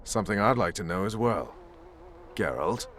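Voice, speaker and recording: A man's voice: on the low side, expressive, moderate pace, fairly bright tone. A man acting a hard, blunt soldier and spymaster in a fantasy video game, clipped and intense. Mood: guarded, grim.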